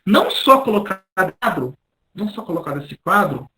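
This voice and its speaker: Bright-voiced Brazilian man lecturing. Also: sits high, very animated, low-quality recording. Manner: halting, repeats and rephrases words. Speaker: history professor, guest lecturer. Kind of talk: academic lecture, spoken off the cuff.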